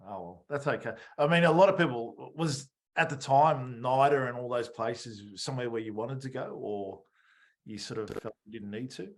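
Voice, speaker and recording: Male interview host, mid-pitched voice, casual and conversational. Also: normal speed, poor audio. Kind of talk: casual chat.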